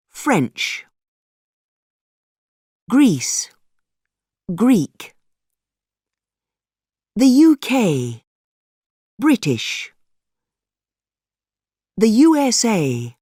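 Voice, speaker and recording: A woman reading a vocabulary list for a language course, deliberate and clearly articulated. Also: mid-range voice, darkish tone, dry recording, studio quality. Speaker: course audio reader.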